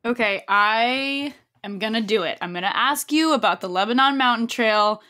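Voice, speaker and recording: Female co-host, bright and eager interviewer. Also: on the high side, normal speed, smooth. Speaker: podcast co-host. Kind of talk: casual interview chat.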